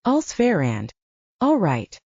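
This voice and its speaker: A jaded young mercenary with a blunt, offhand manner and a lot of swearing, voiced by a woman narrator. The voice sits low and mellow but moves lively in pitch. The sound is dry and a little dull. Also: slow, slightly rough, no room sound, heavily acted.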